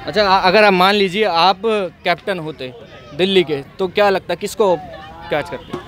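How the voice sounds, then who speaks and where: Young male street interviewer, high voice, outdoor ground. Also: a bit bright. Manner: quick prompting questions with fillers. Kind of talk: vox pop interview. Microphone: handheld mic.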